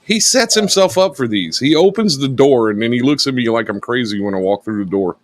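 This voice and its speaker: A man co-hosting a casual radio show, teasing the others with dry jokes in a deep voice. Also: lively intonation, fairly quick. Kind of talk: casual banter.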